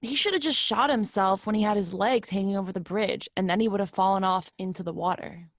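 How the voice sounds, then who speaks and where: An actress playing a tough, masculine-styled twenty-five-year-old in a play reading, blunt, clipped and questioning. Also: female voice, medium pitch, a little fast, natural voice. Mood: skeptical, probing. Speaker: actor. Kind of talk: remote play reading, naturalistic casual dialogue.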